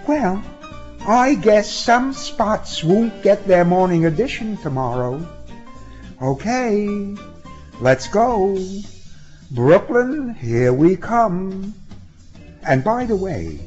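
A sharp-tongued young woman from an audiobook story, acted by a man reader. The voice is lifted high and swings widely as it needles and coaxes. The manner is sarcastic and unflappable, and the recording is somewhat dull. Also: huge pitch swings, measured pace, soft background music, heavily acted. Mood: wry, teasing.

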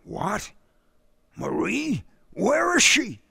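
A man's voice: very high voice, sing-song, extremely slow, creaky, sharp tone, breathy edge, no room sound, studio quality, pitched above the performer's own voice, full character voice. A man voicing a timid, overweight young suitor who stammers and speaks feebly. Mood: stammering.

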